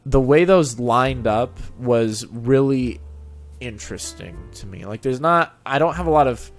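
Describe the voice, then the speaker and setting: A man's voice: medium pitch, lively intonation, measured pace. A man hosting a casual interview, talking in an enthusiastic, rambling way. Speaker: show host and reader of fan fiction audio. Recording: background music. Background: music under the talk.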